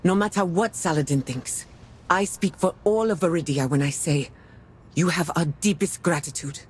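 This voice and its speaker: An actress playing a loyal, diplomatic scout commander in a video game, composed, formal and measured. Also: female voice, fairly low pitch, darkish tone, a little hiss or hum, natural voice. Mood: regretful, grateful. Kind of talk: dramatic video game acting.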